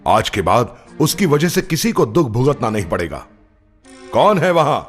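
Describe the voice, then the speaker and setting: Man playing an animated, imperious cartoon emperor. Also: medium pitch, moderate pace, soft background music, lightly acted. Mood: alarmed, angry. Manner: regal, declamatory. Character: imperious Mughal emperor, easily alarmed, commanding. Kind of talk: children's animated story dialogue.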